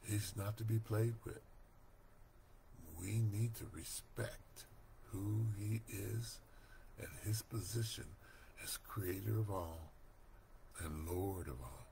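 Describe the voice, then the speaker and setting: An older man reading a Bible study lesson aloud from home, slow, deliberate and earnest, recorded on a webcam mic. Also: fairly low pitch, vocal fry, dark tone, slight background noise. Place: home room, indoors. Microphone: webcam at desk.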